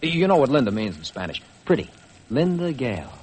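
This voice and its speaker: An American man playing an excitable, wheedling publicity agent in 1950s radio drama style. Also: medium pitch, fairly quick, slightly rough. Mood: enthusiastic, persuading. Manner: wheedling, salesman-like, insistent. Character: pushy, excitable, chuckling Hollywood publicity man. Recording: noisy vintage 1950s radio recording.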